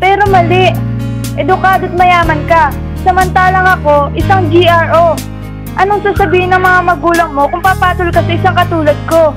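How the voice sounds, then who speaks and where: A woman's voice: very high-pitched, fairly even intonation, brisk, music in the background. A woman playing a poor, hesitant young working student in a radio drama, polite and self-effacing, with a Filipino accent. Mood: troubled, protesting.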